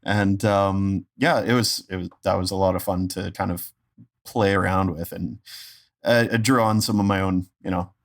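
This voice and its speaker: Wry man with a low voice. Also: steady tone, moderate pace. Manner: wry, joking. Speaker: guest voice actor and stand-up comedian. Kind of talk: casual podcast chat.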